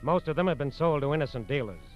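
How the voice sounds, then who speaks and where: An actor narrating a 1940s American radio crime drama as an earnest, authoritative narrator with formal, emphatic delivery. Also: male voice, medium pitch, animated, quick pace, lightly acted. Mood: grave, authoritative. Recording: muffled old radio recording. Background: dramatic music.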